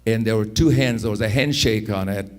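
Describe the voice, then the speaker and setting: A man in his sixties from Afghanistan with an Afghan accent, telling personal stories in an unhurried, anecdotal way, repeating phrases for emphasis. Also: lowish voice. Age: 60s. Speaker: speaker telling his life story. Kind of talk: personal storytelling.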